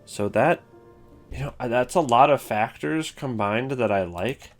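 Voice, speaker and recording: A man's voice: medium pitch, measured pace, faint music behind. A man giving casual, rambling commentary, thinking aloud as he goes. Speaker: video creator ranking game voice lines.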